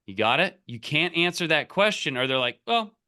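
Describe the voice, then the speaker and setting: A man with a bright, sharp-edged voice coaches casually on a call. He is emphatic and direct, with a salesy push, and keeps checking that the listener follows. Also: on the high side, very animated, normal speed. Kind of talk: casual coaching, explaining and giving example scripts.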